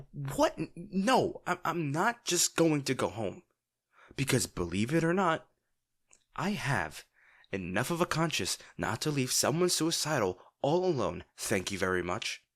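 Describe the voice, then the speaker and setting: Man acting a husky, volatile teenage bully boy. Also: medium pitch, big pitch swings. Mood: exasperated, concerned. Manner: gruff, swearing, sighing, halting when upset. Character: brash teenage bully boy, abrasive but secretly caring. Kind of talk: dramatic audio roleplay addressed to the listener.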